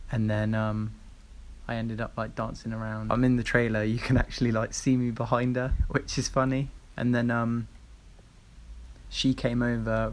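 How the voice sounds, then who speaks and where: A man with an English accent hosting a casual video-call chat, telling stories loosely with lots of fillers. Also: medium pitch. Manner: relaxed, chatty, lots of fillers. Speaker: show host and singer.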